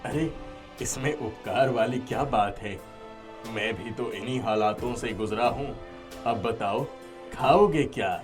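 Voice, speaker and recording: A man's voice: mid-range voice, a little slow, natural voice. A man playing a kindly but firm, aggrieved old village father in a moral-story cartoon. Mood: generous, helpful. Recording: music behind the voice.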